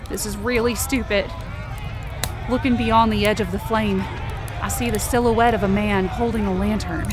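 A woman's voice: fairly high pitch, close to an everyday voice. A woman acting as a stubborn, desperate young rescuer, narrating a supernatural audio drama in an intense, emotive way. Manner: intense, emotive, swearing under strain. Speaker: narrator and lead actor of a fiction podcast. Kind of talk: dramatic first-person present-tense audio drama narration.

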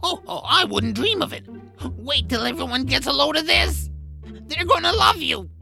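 A cranky, eccentric troll cook from a fantasy audio drama, played by a woman. He has a bright, forceful edge over heavy gravelly creak. He grumbles theatrically in a high-set, excitable voice that leaps around in pitch. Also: normal speed, soft background music, heavily acted. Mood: smitten, defensive. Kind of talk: family audio drama character acting.

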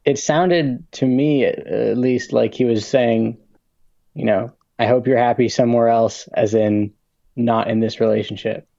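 A man co-hosts a casual podcast, chatting loosely with plenty of fillers. His voice is gravelly and dark, with a mellow tone. The sound is muffled and lo-fi, as if from a dull mic. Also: mid-range voice, steady tone, measured pace. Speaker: podcast co-host.

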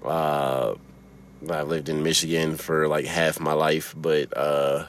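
A man's voice: very deep voice, flat delivery, slow pace. A man with a Chicago accent hosting a casual solo podcast from his car, rambling, swearing freely and laughing often. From Chicago, USA. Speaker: podcast host.

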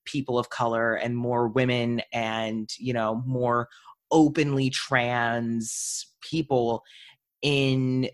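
A man's voice: mid-range voice, flat delivery, slow. A man hosting a podcast, talking in a casual conversational style. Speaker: podcast host.

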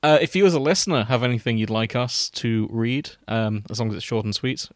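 A man's voice: mid-range voice, a little fast, no room sound, studio quality. A man, chatting casually as the host of a podcast.

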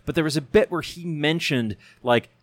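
A man on a talk show who owns a bookstore, talking politics casually in animated bursts with many restarts. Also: fairly high pitch, moderate pace, dry recording. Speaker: show regular and bookstore owner.

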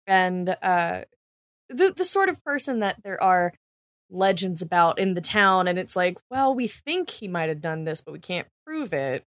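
Woman chatting casually, halting, dry close sound. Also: fairly low pitch, sing-song, a bit bright, dry recording. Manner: halting, thinking aloud, colloquial. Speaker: podcast co-host. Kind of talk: casual unscripted podcast chat.

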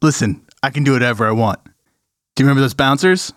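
A man's voice: mid-range voice, brisk, no room sound, clean studio sound, natural voice. A man voicing a menacing male ninja gang leader who bullies and taunts with snarling threats.

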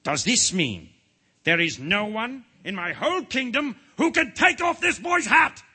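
Man playing a blustering, high-pitched bellowing storybook king. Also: normal speed. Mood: exasperated, bellowing. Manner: commanding, excitable, exclamatory.

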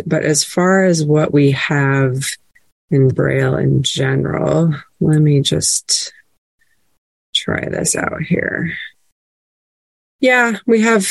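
Mujer bibliotecaria hablando con voz seca. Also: female voice, sits low, no room sound. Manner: chatty, many fillers and restarts. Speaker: librarian presenter. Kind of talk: casual webinar presentation.

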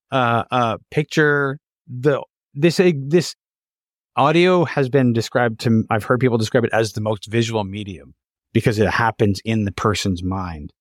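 A man's voice: medium pitch, no room sound. Thoughtful male host, dry close sound. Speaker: interview host. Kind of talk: reflective interview conversation.

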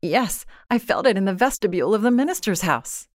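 A woman's voice: medium pitch, animated, brisk, dry recording, studio quality, voice pushed up, natural voice. A woman voicing a panicked, frightened young wife who stammers and cries out in a storybook reading. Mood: anxious. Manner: stammering, crying out in alarm.

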